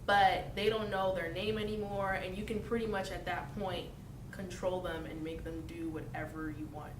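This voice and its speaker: A woman's voice: flat delivery, moderate pace. Young woman telling stories, lots of "like", far from the mic, roomy echo. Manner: explanatory, storytelling with fillers. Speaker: interviewee. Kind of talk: casual interview answers. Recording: echoey room, mic at a distance, faint rumble.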